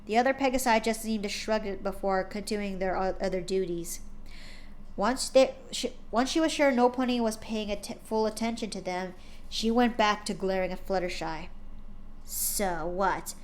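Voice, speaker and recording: A woman's voice: mid-range voice, moderate pace. A woman streamer reading fan fiction aloud live, casually stumbling and correcting herself.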